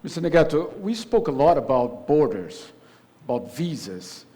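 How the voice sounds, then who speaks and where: A man moderating a conference panel, asking a long, halting question. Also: on the high side, sing-song, dark tone.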